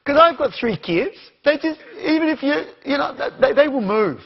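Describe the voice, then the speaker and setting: A man's voice: very high-pitched, animated, normal speed, dull audio. A man with an Australian accent giving an interactive conference talk, questioning the audience as he goes. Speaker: conference speaker.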